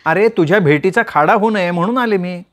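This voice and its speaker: A man voicing a chatty, carefree young woman who teases her friend in quick banter in a read-aloud story. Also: high voice, voice pushed up, close to an everyday voice. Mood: teasing. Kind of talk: storytelling with voiced characters.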